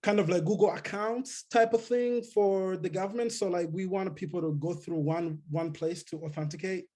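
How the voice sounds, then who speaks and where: A man from Rwanda giving a relaxed talk on a video call, with many fillers and restarts. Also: high-pitched, moderate pace. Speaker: guest speaker. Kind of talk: casual technical talk.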